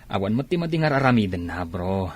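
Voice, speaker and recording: A man acting a steady, reassuring Filipino soldier in a radio drama. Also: on the low side, quick pace.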